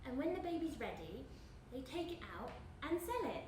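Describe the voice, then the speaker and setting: A woman's voice: high-pitched, big pitch swings, a little mellow. Woman acting a menacing woman, echoey stage sound. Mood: taunting threat. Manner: deliberate, taunting, repetitive phrasing. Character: menacing, cruel woman calmly threatening her victim. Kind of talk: live stage play acting. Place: indoor stage of a live theatre performance. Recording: echoey theatre, distant mic, noisy.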